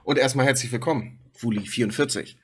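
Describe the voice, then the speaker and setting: A man's voice: medium pitch, fast, slight background noise. A man streaming from home on a headset, chatting casually to his viewers while reacting to a video. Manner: chatty, talks to his chat and pet. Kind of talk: casual live-stream commentary.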